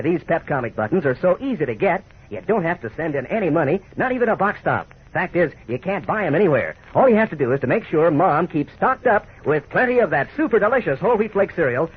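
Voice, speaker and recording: A man's voice: on the high side, husky. A man with an American accent delivering a 1940s radio commercial in an enthusiastic, folksy manner as announcer. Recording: tinny, narrow 1940s radio recording.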